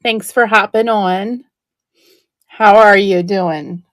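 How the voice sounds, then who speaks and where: A woman running a live-stream auction, chatting warmly about each item while she loses her voice and keeps clearing her throat. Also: medium pitch, fairly even intonation, takes it slowly, no room sound. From New Jersey. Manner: chatty, describing items up close.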